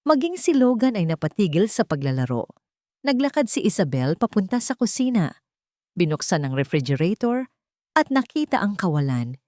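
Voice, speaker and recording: A woman's voice: medium pitch, very animated, normal speed, mellow, no echo, studio quality. A woman reading a scripted romance story as a dramatic narrator.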